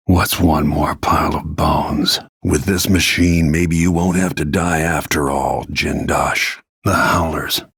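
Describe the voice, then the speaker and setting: A grim vengeful older-ish assassin man for a video game, really husky n gravelly, terse and brooding. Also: a bit bright, dry recording, clean studio sound, full character voice. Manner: low-key, grim, terse, deliberate. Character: brooding, vengeful middle-aged male assassin and bodyguard.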